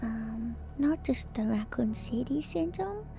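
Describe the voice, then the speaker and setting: Slow, whispering ASMR woman. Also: on the high side, expressive. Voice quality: soft, breathy whisper. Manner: slow, gentle, repeats words and phrases. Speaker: VTuber streamer. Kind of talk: whispered ASMR reading and commentary.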